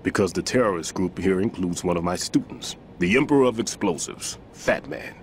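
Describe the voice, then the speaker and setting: A man's voice: low voice, normal speed, a little hiss or hum, lightly acted. An actor playing an older male retired bomb disposal expert, rueful and self-deprecating. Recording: game cutscene with music.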